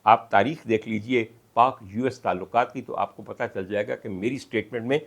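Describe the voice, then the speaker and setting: A man with a Pakistani accent delivering political commentary as an emphatic, oratorical monologue, with dramatic pauses and piled-up lists. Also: lowish voice, vocal fry. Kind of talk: rhetorical monologue of political analysis.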